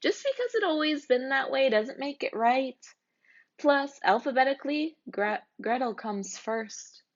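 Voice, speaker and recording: A woman's voice: high-pitched, animated, a little slow, lo-fi sound, pitched above the performer's own voice. A woman voicing a bossy, outspoken but caring little girl in a storybook. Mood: indignant.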